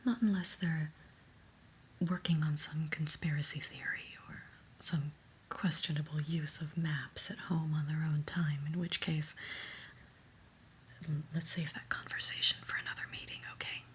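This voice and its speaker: Lady doing a strict corporate boss lady for asmr, breathy whisper, lowish and super slow, dryly disapproving. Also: steady tone, a bit bright, slight background noise. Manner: measured, deliberate, corporate jargon, dryly disapproving. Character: unimpressed new female office manager, stern but politely corporate.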